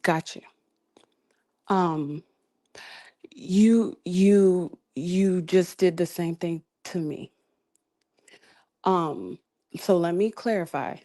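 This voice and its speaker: Soft-spoken reassuring woman host. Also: lowish voice, drawn-out words, pauses often. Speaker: podcast host and relationship coach. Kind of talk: calm advice-giving talk.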